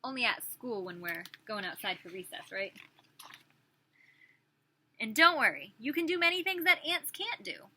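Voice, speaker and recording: A woman's voice: on the high side, sharp tone. A woman preschool teacher reading a picture book aloud expressively, with questions and chatty asides for small children.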